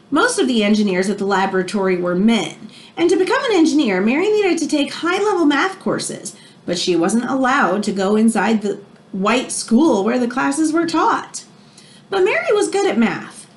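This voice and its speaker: A woman teacher reading a children's nonfiction book aloud, explaining as she goes and stressing key phrases. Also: a little high. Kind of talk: expressive read-aloud of a children's nonfiction book with brief asides.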